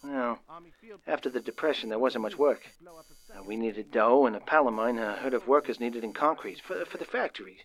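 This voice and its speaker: Male, actor as twenty-something 1930s working fellow, mid-pitched, jittery, stammering, excitable, folksy, radio drama delivery. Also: normal speed, lightly acted, low-quality recording. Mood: awkward, hesitant. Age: young adult. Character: nervous, excitable 22-year-old working man of the 1930s. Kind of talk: old-time radio drama acting.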